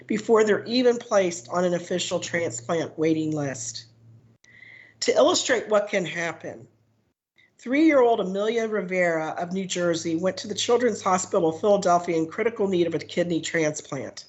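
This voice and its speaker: Hoarse woman, advocate testifying at a hearing, measured and a bit low. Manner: measured, read-out delivery with frequent "um". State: hoarse from a cold. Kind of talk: prepared testimony at a legislative hearing. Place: legislative committee room.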